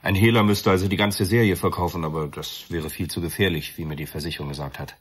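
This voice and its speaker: An actor playing a bereaved, defensive middle-aged father, measured and earnest, in a radio drama. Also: low-pitched, steady tone, slightly rough. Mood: matter-of-fact. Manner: measured, earnest, self-justifying. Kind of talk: German radio drama acting.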